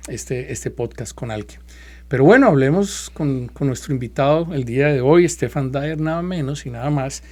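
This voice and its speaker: Mellow-voiced male podcast host. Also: medium pitch. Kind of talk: casual podcast hosting.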